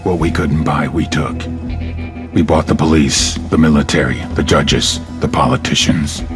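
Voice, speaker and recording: A man's voice: a bass voice, fairly even intonation, moderate pace, music in the background. A man playing a grandiose, menacing cartel boss, giving a solemn, boastful villain monologue in a video game. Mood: grandiose menace.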